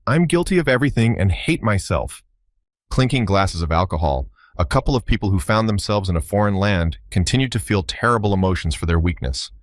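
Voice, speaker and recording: A man reading a scripted story recap in a steady, even way. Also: sits low, no echo, clean studio sound. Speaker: narrator.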